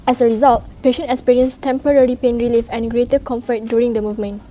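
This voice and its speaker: A woman's voice: on the high side, flat delivery, fast, dark tone, soft background music. A young woman reading a scripted class presentation as a student.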